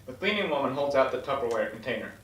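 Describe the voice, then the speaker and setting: A man with a medium-pitched voice narrates stage directions from a script. He sounds slightly distant in an echoey room with faint noise. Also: lively intonation, quick pace, fairly bright tone. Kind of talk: scripted narration at a table read. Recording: echoey room from far off, noisy.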